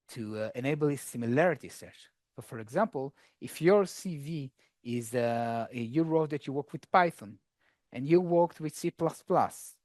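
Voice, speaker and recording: A man's voice: medium pitch, no echo. A man giving a casual, chatty technical talk with a live coding demo to a conference audience, joking with the room as he goes. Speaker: conference speaker.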